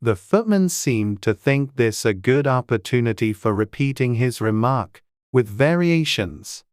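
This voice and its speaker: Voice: medium pitch, lively intonation, unhurried, no room sound. Dry, close storybook narrator. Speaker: audiobook narrator.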